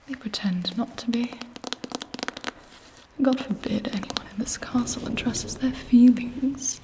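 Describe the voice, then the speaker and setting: A woman playing an imperious, scheming, seductive vampire queen in an intimate ASMR roleplay, speaking in a deliberate, haughty whisper. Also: medium pitch, darkish tone, natural voice, low-quality recording. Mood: bitter, disdainful.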